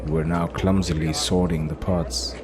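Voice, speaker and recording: A man's voice: low voice, flat delivery, moderate pace, background noise. A man reading scripted, dramatic fantasy narration as the storyteller of an audio drama.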